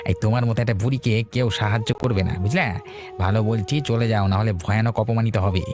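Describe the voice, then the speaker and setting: A man acts out a rude, scornful villager from a cartoon folk tale. He talks fast in a mid-pitched, sneering, hectoring voice. Music is clearly heard behind him. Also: steady tone, close to an everyday voice. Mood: scornful, threatening. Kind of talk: Bengali fairy tale cartoon dubbing.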